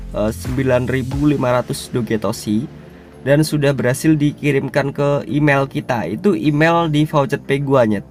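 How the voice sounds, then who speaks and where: A hoarse man talks through a casual screen tutorial at a middling pitch. He rambles in street slang and keeps clearing his throat. Soft music plays faintly underneath. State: hoarse, unwell. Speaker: YouTuber reviewing crypto sites.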